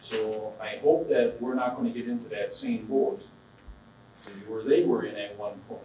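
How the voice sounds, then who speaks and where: A man's voice: fairly low pitch, fairly even intonation, moderate pace, warm tone, slight background noise. A man serving as county supervisor, asking plain-spoken, rambling questions at a public meeting. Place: council chamber. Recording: distant mic in a very echoey hall.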